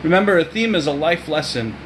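A man's voice: fairly high pitch, expressive, fast talker, a bit bright, a little hiss or hum. A man in his thirties or forties, a teacher recording an instructional message for a class. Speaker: teacher commenting to students. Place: small indoor room. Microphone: phone at arm's length.

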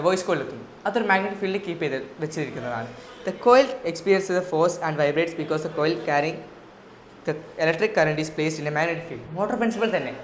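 A woman with a Malayali accent tutoring physics, explaining step by step as in a school lesson. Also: sits low. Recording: echoey room with faint noise.

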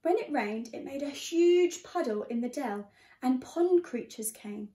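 A young woman reading a picture book aloud to young children in an expressive, teacherly way. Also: high voice, measured pace. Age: young adult. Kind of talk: storybook read-aloud. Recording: echoey room sound.

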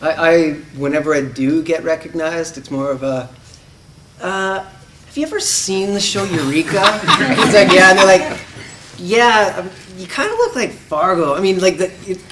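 Male actor in his thirties, higher-pitched, jokey and casual, far mic. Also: moderate pace, a bit bright, slight background noise. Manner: self-deprecating, chatty, laughing. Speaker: actor guest. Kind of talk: casual interview answers. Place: convention press room.

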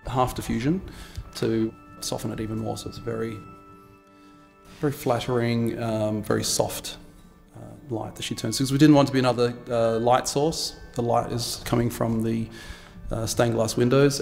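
Aussie-guy in his 40s, gravelly voice, talks camera gear slow n techy, echoey big stone hall. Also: medium pitch, darkish tone. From New Zealand. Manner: unhurried, technical, with frequent uh fillers. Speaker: cinematographer. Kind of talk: explaining work on set. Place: large historic house interior.

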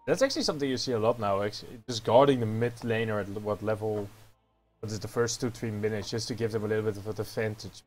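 A man's voice: on the low side, fast, a little mellow, faint music behind. A man casting esports in a chatty style, often laughing with his co-caster. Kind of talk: esports commentary.

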